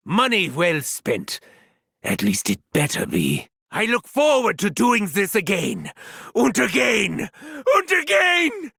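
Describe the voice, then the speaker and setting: An actor voicing a gleefully sadistic, haughty German mad scientist for a video game, with a theatrical delivery. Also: male voice, extremely high pitch, creaky, sharp tone, dry recording, studio quality, exaggerated voice. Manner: theatrical, swinging from shrieking glee to menacing murmur.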